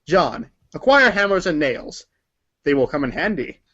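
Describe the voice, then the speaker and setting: A man's voice: a little high, big pitch swings, normal speed, a bit bright, breathy edge, muffled recording. A man reading scripted second-person narration like a read-along storybook, with theatrical emphasis.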